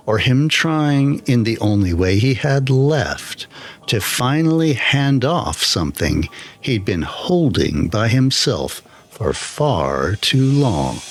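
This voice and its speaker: A man reading a scripted first-person horror story in a measured, deliberate way, with pauses between phrases. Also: mid-range voice. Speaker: storyteller, channel narrator.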